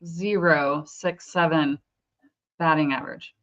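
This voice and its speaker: A woman's voice: sits low, fairly even intonation, unhurried, a bit bright. A woman co-hosting a sports podcast in casual, unscripted chat, heard on slightly dull, lo-fi audio.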